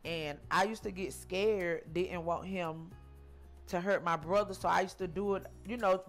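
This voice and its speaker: Halting woman in her forties. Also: lowish voice, fairly even intonation, faint music behind. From Georgia, US. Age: 40s. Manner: halting, repeats herself, frequent ums. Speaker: interview guest. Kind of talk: personal life story in an interview.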